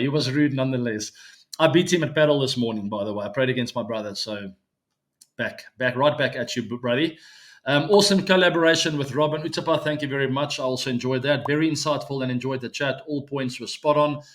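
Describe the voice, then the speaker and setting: A man's voice: mid-range voice, fairly quick, a bit bright. A man in his forties whose first language is Afrikaans, hosting a cricket show in a casual, chatty solo talk to viewers, with frequent ums and easy laughs. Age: 40s. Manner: chatty, many ums and uhs, laughs easily.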